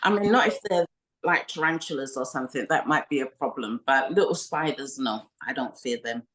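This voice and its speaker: A woman from Ghana, a makeup designer guesting on a podcast, talking in a relaxed, friendly way and growing animated when she tells stories. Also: a bass voice, brisk. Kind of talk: casual interview chat.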